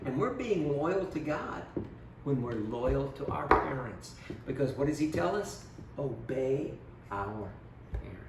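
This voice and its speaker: A man's voice: mid-range voice, lively intonation, a little slow. A man giving a children's Bible lesson as storyteller, speaking simply and instructively and putting questions to small children. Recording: roomy, distant mic with background noise.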